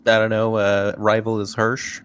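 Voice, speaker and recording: A man playing a tabletop game, casually describing his character in a halting, wry way. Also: fairly low pitch, monotone, fairly bright tone, faint music behind. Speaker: player.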